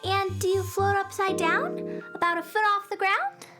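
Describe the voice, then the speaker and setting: Woman as a tiny, extremely high-voiced fairy. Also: lively intonation, normal speed, loud music behind the voice. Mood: curious, concerned. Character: tiny cheerful, caring fairy friend. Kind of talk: children's radio drama acting.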